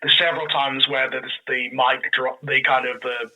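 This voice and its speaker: A man with a sharply bright, forward voice and a middling pitch. He chats casually and with enthusiasm as a guest, animatedly slipping into imagined reactions while he explains his points. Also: little pitch movement, normal speed, low-quality recording. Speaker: guest, audio drama creator.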